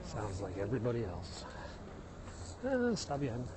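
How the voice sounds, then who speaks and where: A middle-aged man with a Midwestern American accent talks to his viewers as he walks a hillside trail. He sounds like a chatty tour guide pointing out the sights. His phone picks up loud cicadas and insects buzzing around him. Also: mid-range voice, wildly swooping pitch, moderate pace, noisy background. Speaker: live-streaming vlogger. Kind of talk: casual walking livestream chat. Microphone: phone held while walking.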